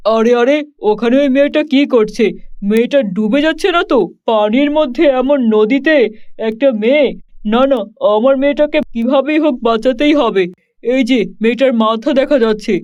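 A good-hearted young cowherd played by a man, self-important and full of exclamations. The cartoon voice is very high, on slightly dull lo-fi audio. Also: a little mellow. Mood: alarmed. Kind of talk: children's cartoon voice acting.

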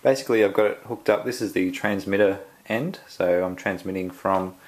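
An Australian man giving a casual unboxing walkthrough at home, halting and full of ums and restarts. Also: low-pitched. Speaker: tech reviewer. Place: indoors at home, filming the box up close.

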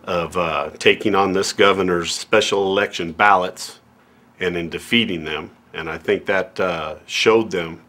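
A man with a low voice speaks emphatically at a press conference. He drives his points home with force, using filler words between phrases. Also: steady tone, a little slow, bright, forceful tone.

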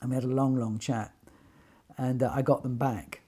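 A man's voice: mid-range voice, little pitch movement, normal speed, dark tone, breathy edge. An older man telling his story informally to camera, animatedly retelling conversations and sometimes repeating words as he goes. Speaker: loudspeaker designer telling company story. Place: small attic sitting room, indoors.

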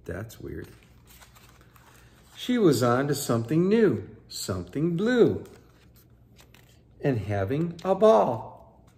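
A man in his sixties reads a picture book aloud in a living room. His delivery is unhurried and expressive, with lively swings in pitch, pitched for young children. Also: vocal fry. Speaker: storyteller for children.

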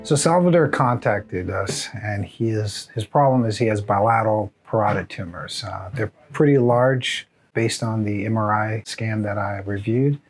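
A man's voice: fairly low pitch, moderate pace, few pauses, soft background music. An American man in his forties, a surgeon, speaking in a documentary as narrator and interviewee. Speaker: surgeon, head of a clinic.

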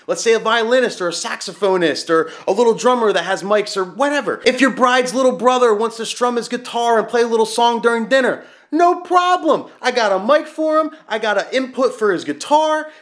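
A man in his thirties, a DJ, talking straight to camera in a small home room, loud and projecting, animated and gesturing as he explains. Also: high-pitched. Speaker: DJ giving tips. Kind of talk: energetic direct-to-camera explainer.

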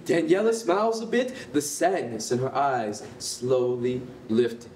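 An actor performs an exuberant male storybook narrator with theatrical announcer flourishes. His pitch swings enormously and his delivery is drawn out. The stage reading sounds echoey and distant. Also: very animated, a little slow, darkish tone. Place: concrete rehearsal hall. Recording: distant roomy stage recording, clear noise.

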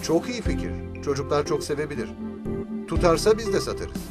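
A man's voice: fairly high pitch, lively intonation, a little fast, close to an everyday voice. An actor playing an eager, wheedling umbrella shop salesman in a children's educational cartoon. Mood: eager, sly. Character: wheedling male umbrella shop salesman, opportunistic, easily alarmed. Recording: loud music behind the voice.

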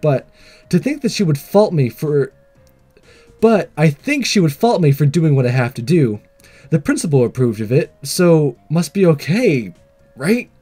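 Male gaming host, higher voice, animated delivery, casual narration over light background music. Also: measured pace. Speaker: gaming video host reading a visual novel aloud. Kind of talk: narrated let's play, scripted reading and casual sign-off.

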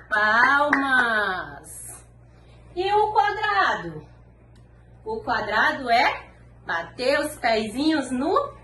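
A Brazilian woman in her thirties, a preschool teacher speaking slowly and encouragingly to small children in an online lesson. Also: high-pitched, fairly bright tone. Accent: Brazilian Portuguese. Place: classroom wall with posters, indoors. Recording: echoey room, faint background noise.

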